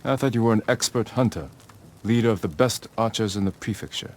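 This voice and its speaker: An actor playing a stoic, dutiful samurai outlaw in a dramatic game cutscene, speaking in a solemn, measured, earnest manner. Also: male voice, fairly low pitch, lightly acted. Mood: firm, persuasive. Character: stoic, dutiful samurai man turned outlaw, guilt-laden but resolute.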